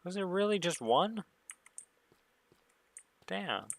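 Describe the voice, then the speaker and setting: Animated man rambling on a gaming stream. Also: slow, creaky. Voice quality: somewhat deep voice. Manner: rambling, self-musing talk. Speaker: gaming livestreamer. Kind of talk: casual solo gaming stream chat.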